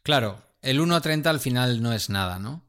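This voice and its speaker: A man from co-hosting a podcast in casual chat, talkative, playful and measured. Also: medium pitch, expressive, a bit bright. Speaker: podcast co-host.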